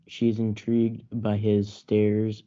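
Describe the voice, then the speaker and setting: A man's voice: lowish voice, completely flat delivery, slow pace, warm tone. A young man reading scripted narration for a student reader's theatre play. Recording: dull lo-fi audio.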